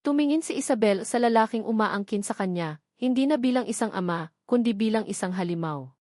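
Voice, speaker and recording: A woman's voice: mid-range voice, expressive, a little fast, darkish tone, no room sound, clean studio sound. A woman reading a scripted dramatic story as its narrator.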